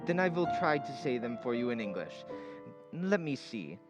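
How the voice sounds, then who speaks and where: A man playing a gentle German professor who loves poetry, speaking with deliberate, formal phrasing in old-time radio drama style. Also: medium pitch, big pitch swings, natural voice. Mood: courteous, modest. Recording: prominent music behind the voice.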